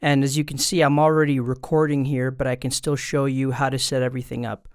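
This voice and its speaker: A man's voice: medium pitch, level tone, brisk, clean tone. A man presenting a casual step-by-step tutorial, talking informally and directly to viewers into a studio microphone at a desk. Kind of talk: casual step-by-step instructional explanation. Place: indoors at a desk. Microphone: studio microphone through an audio interface.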